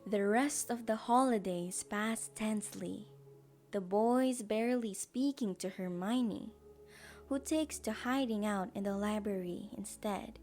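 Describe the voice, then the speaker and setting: A woman narrator reads scripted story narration at a slow pace in a mellow, fairly high voice. Faint music plays underneath.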